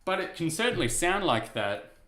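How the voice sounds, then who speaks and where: A man with a low speaking voice chatting casually and self-deprecatingly to his viewers, rambling with lots of fillers. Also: big pitch swings, moderate pace, fairly bright tone, roomy sound. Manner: easygoing, rambling, self-deprecating, many "you know" and "uh" fillers. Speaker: VTuber streamer. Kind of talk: casual chat to stream viewers while restringing a guitar.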